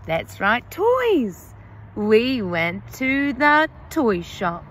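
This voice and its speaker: Young woman, primary teacher, outdoor phone video, very slow and animated read-aloud. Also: on the high side. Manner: slow, exaggerated, sing-song storybook reading. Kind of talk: reading lesson for young children. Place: outdoors under trees.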